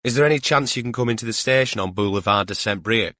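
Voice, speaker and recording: A man voicing a female detective inspector with a calm, polite, official manner in an audiobook. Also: fairly low pitch, fast talker, no room sound, clean studio sound.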